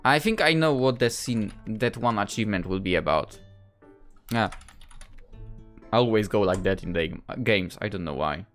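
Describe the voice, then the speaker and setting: A man's voice: on the low side, expressive, moderate pace, long pauses, fairly bright tone, soft background music. A man giving casual, playful, rambling playthrough commentary on a game. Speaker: gaming video creator playing his visual novel. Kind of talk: casual playthrough commentary, reading dialogue aloud.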